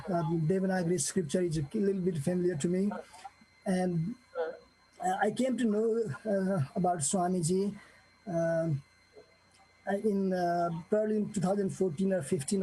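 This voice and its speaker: A man in his forties from Nepal, giving an unscripted personal testimony with many pauses, fillers and restarts. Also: sits high, steady tone, dark tone, a little hiss or hum, low-quality recording. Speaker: guest sharing a testimony.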